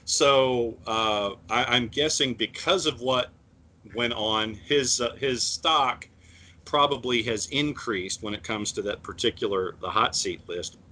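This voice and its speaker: A man co-hosting a sports podcast, talking in a measured way with many fillers and restarts. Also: medium pitch, bright, forceful tone. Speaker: podcast co-host. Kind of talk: casual sports talk.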